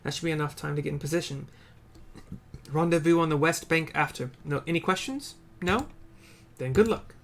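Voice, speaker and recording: A man's voice: fairly high pitch, moderate pace, gravelly. A man voicing a battle-hardened woman fighter from a game, stern and resolute, with a firm delivery and a shifting put-on accent. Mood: commanding. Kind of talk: voiced game dialogue read aloud.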